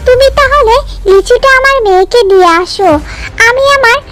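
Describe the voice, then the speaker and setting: A woman's voice: extremely high pitch, normal speed, a bit bright. A woman playing a caring, easily worried mother fox in a cartoon, earnest and motherly.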